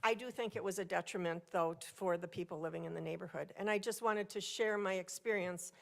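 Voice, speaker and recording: A woman speaking at a podium microphone in council chambers, telling a personal story during public comment in a loud, chatty voice. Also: lowish voice, brisk, creaky. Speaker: resident speaking at public hearing.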